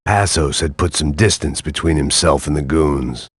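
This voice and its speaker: An actor voicing a jaded, self-loathing middle-aged antihero in weary, sardonic, deadpan video game noir narration, with a gravelly voice. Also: male voice, rumbling low, normal speed, no room sound, studio quality. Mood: bleak, reflective. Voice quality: gravelly, husky.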